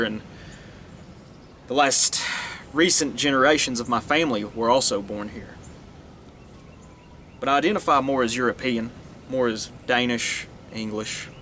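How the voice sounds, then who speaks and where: An earnest man, musing aloud in a loose, rambling monologue that often restarts and trails off. His voice sits at an ordinary middle pitch. Faint traffic noise hums behind him. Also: bright, forceful tone. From Northeast Tennessee, USA. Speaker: vlogger. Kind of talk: casual monologue to camera.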